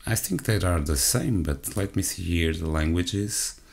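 Man, Portuguese accent, English as a second language, tech walkthrough, hesitant, lots of fillers, talks to viewers, deep voice. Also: lively intonation, a little slow, creaky. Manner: hesitant, frequent fillers and self-corrections; addresses viewers directly. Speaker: tech channel host. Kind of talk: screen-recorded software walkthrough.

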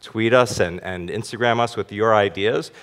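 Low-pitched panelist in his thirties, pointed and critical, reverberant stage hall. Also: normal speed, a bit bright. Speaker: panelist, city council member. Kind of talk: panel discussion. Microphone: headset mic.

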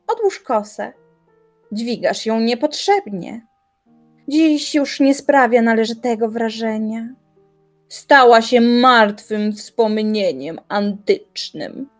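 Young woman playing a scornful young thinker in a radio drama, biting sarcasm, unhurried. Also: on the high side, animated, soft background music. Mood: composed. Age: young adult. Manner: sharp, sarcastic retorts. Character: young female philosopher, proud and scornful. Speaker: voice actor. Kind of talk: audio drama acting.

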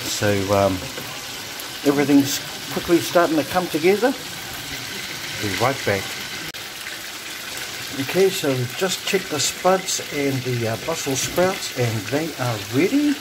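A man casually narrating his home cooking, relaxed and chatty with frequent ums, filmed on a phone over the stove. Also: fairly high pitch, a little slow, pauses often. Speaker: home cook vlogger. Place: home kitchen. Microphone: phone camera held over the stove. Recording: noisy home kitchen phone recording. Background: sizzling and bubbling pots.

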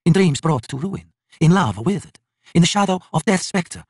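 A man's voice: a little high, lively intonation, breathy edge, no echo, studio quality, voice pushed up. A man as a grave, anguished elder god. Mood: solemn cursing. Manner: slow, portentous, intense.

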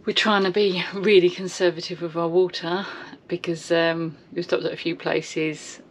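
A woman's voice: fairly low pitch, level tone, moderate pace, slight background noise. A woman chatting informally to camera as a travel vlogger, recorded on a nearby camera. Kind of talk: casual chat to camera. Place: mostly outdoors on open clifftop.

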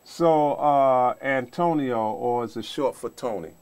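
A middle-aged man speaks as the host of an informal talk show. His voice is mid-pitched, and he tends to ramble and repeat his phrases as he puts questions to a guest. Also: unhurried, darkish tone, clean tone. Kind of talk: informal interview. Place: small indoor TV studio.

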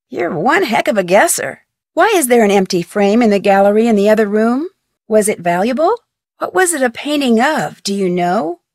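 A woman plays a young amateur sleuth in a mystery game, her voice sitting a little high as she poses polite, probing questions. The read is clean and dry, close to the mic with no room around it. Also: no room sound, close to an everyday voice. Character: young female amateur detective, curious. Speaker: game protagonist. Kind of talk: studio video game voice acting.

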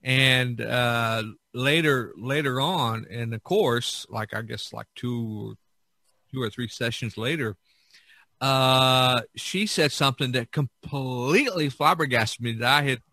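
Bright-voiced middle-aged man, closely recorded. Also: medium pitch, takes it slowly, no echo. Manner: rambling, repeats himself, animated when quoting others. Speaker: podcast host, visual artist. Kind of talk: casual storytelling in conversation.

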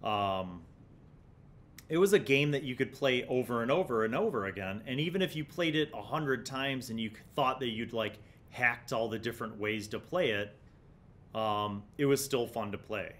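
A man's voice: medium pitch, fairly bright tone. An American man in his forties hosting a tabletop gaming review, talking in a reflective, explanatory, conversational way into a studio microphone. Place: small indoor studio. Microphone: large desktop studio microphone.

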